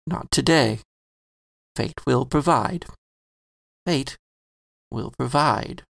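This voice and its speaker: A man performs a kindly middle-aged landlord speaking his thoughts in a quiet, reflective monologue. The voice sits in a gravelly register, and the recording is dry and close. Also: mid-range voice, extremely slow, dry recording, heavily acted. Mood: resolute. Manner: soft reflective first-person monologue.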